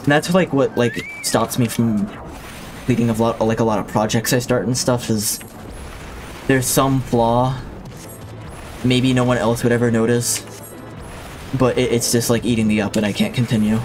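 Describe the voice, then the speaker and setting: A man chatting casually to his viewers while he plays a game, talking loosely and thinking out loud with frequent restarts. His voice is a middle pitch for a man and comes through a home streaming mic. Soft game music plays underneath. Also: steady tone, moderate pace, long pauses. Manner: rambling and reflective, with many restarts. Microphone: streaming mic with a limiter.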